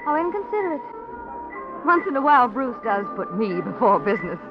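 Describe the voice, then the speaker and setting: A woman's voice: fairly high pitch, sing-song, brisk. A woman playing a cool, cutting married woman ending an affair, in 1940s radio drama style. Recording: dull old radio broadcast with music.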